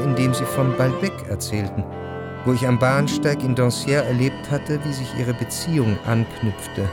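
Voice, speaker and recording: An actor as a measured, reflective narrator reading scripted literary prose in a radio drama. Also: male voice, medium pitch, close to an everyday voice.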